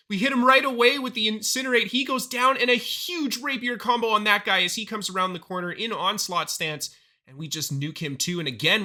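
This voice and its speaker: Hoarse, bright-toned gaming commentator man. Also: a little high. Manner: upbeat, excited over big plays. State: hoarse from illness. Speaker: gaming YouTuber. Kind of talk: gameplay commentary voice-over.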